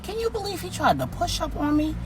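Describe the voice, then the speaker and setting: A woman's voice: very high-pitched, sing-song, brisk, recorded from a distance, background noise, pitched above the performer's own voice. A woman voicing an outspoken, cautious female best friend in a story, frank and questioning. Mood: gossipy.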